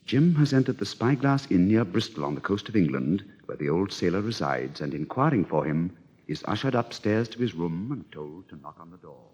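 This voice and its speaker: A man narrating a scripted adventure tale as a dramatic storyteller, with a deep, husky voice. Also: expressive, fairly quick, darkish tone, a little hiss or hum. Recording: slightly dull old record.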